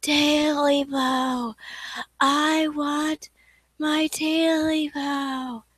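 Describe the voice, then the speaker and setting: Woman reading as a small clawed night creature: high, slow, ghostly wail. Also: flat delivery, fairly bright tone, dry recording, heavily acted. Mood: eerie moaning. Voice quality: drawn-out eerie wailing. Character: moaning stubby clawed male creature hunting its tail.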